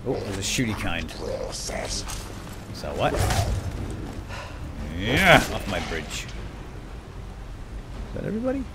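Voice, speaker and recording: A man's voice: fairly low pitch, huge pitch swings, slow, pauses often, very noisy. A man giving playful, lightly role-played video game commentary, talking to himself and his in-game companions. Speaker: let's player. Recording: game sound effects and ambience behind. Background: video game cave ambience and combat.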